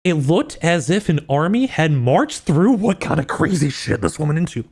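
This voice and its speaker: A man livestreaming in a casual, slangy, joking way, with an animated, incredulous manner as he reacts. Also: fairly high pitch, normal speed, slightly rough. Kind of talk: casual livestream reaction with book read-outs.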